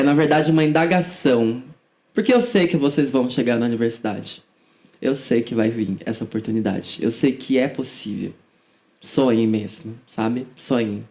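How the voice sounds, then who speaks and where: Young woman, somewhat low-pitched informal speaker. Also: darkish tone. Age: 20s. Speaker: podcast guest, student activist. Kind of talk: conversational interview answers.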